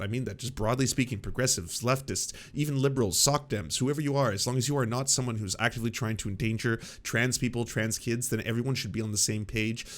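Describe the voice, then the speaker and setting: A man hosting a live stream, reacting to clips with sarcastic, rambling political commentary. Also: on the low side. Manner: sarcastic, mocking, rambling with fillers.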